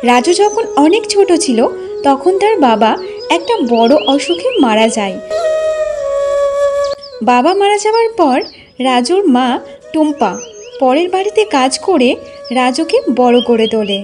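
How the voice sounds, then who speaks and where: Very high-pitched woman narrating a tale. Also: very animated, unhurried, long pauses. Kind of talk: scripted storybook narration for cartoon. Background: clearly audible music.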